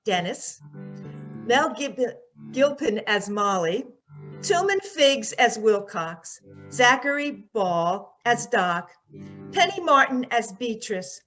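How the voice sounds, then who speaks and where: A woman works as an old-time radio announcer. Her voice is bright and fairly high, with theatrical presentation and light music behind it.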